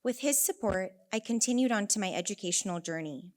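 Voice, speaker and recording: A woman's voice: mid-range voice, lively intonation, brisk. A young woman with a California accent giving a prepared conference talk, earnest and storytelling, with wry asides. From Northern California. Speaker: conference speaker, elementary teacher. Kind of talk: prepared speech.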